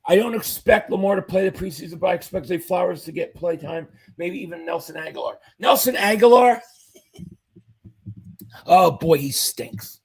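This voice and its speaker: Man, livestream host, gravelly and hoarse from losing his voice, upbeat and chatty. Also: sits high, expressive, normal speed, poor audio. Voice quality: husky, fading voice. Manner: enthusiastic fan reading chat comments aloud. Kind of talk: casual live-chat commentary.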